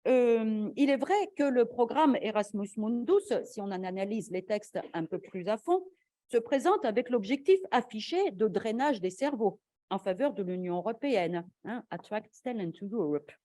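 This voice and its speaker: Soft-toned woman giving an academic lecture. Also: mid-range voice, expressive, moderate pace, no room sound. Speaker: invited conference speaker, academic. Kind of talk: formal academic lecture with slides.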